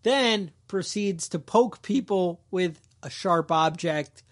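A man with a notably high-pitched voice co-hosts a casual podcast. He tells the story in a wisecracking, lively way and slips into quick character voices along the way. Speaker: podcast co-host.

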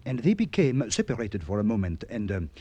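A man's voice: fairly low pitch, very animated, brisk, dark tone, slightly rough, no echo, lo-fi sound. Ardent young Frenchman, swooping voice. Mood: smitten. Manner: theatrical, flowery, hesitating. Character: ardent, preening young French lodger and suitor. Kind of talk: 1950s radio drama acting.

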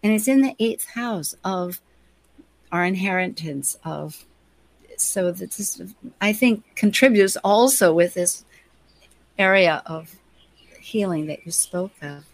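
A woman's voice: fairly low pitch, takes it slowly, pauses often, no echo, rough recording. Conversational woman host, dry close sound. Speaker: host and astrologer. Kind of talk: live astrology discussion, conversational.

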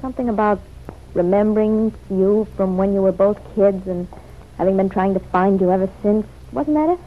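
An actress playing a quick-witted young woman in an old-time radio drama, with a wry, teasing manner. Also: medium pitch, lightly acted. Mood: curious. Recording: dull, noisy vintage radio recording.

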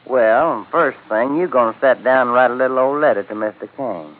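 An actor playing a smug, folksy villain with a rural American accent, mock-genial and menacing, in an old radio drama. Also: male voice, mid-range voice, fairly quick, faint music behind, lightly acted. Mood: smug menace. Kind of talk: 1940s-50s radio villain acting. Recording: old tinny noisy radio recording.

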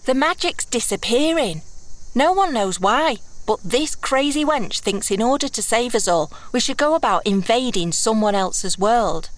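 A woman's voice: on the high side, animated, measured pace, rough recording. A woman playing a brash, blunt runaway heiress turned thief in a fantasy audio drama, sarcastic and colloquial with old-fashioned turns of phrase. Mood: wry, scornful.

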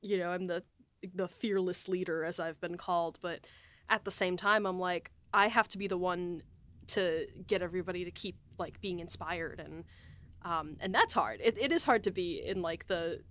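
A woman's voice: lowish voice, moderate pace, a bit bright. A woman writer and producer in a casual behind-the-scenes discussion, talking in a reflective, rambling way.

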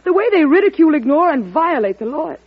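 A woman's voice: sits high, sing-song, fast talker. A woman playing a loyal, earnest presidential assistant in an old-time radio drama. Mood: earnest, distressed. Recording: dull vintage radio recording.

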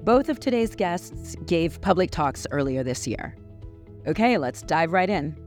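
A woman co-hosting a podcast, introducing the show and guests in a measured way. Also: lowish voice, sing-song, a little mellow, faint music behind. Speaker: podcast co-host.

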